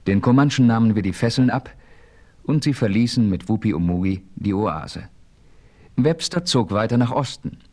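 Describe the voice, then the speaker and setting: An actor as a composed western adventurer, telling his own story in a German radio play with measured narration. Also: on the low side, animated, a little mellow. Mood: composed, narrating. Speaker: first-person narrator.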